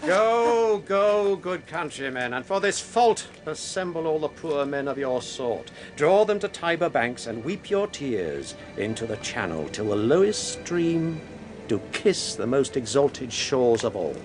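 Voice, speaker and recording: A man's voice: on the high side, sing-song, a little slow, music in the background. A man playing a zealous, commanding Roman official in a Shakespeare radio drama, rhetorical and scornful. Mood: commanding, grave. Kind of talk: radio Shakespeare acting, declamatory. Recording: very noisy background.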